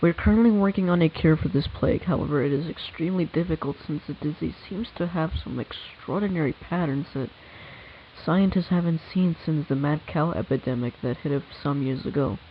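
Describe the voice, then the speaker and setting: A grave male scientist, acted by an amateur, addresses the public in careful, semi-formal phrases. His voice sits a bit high for a man and moves haltingly. The recording is dull and lo-fi, with a light hiss underneath. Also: close to an everyday voice.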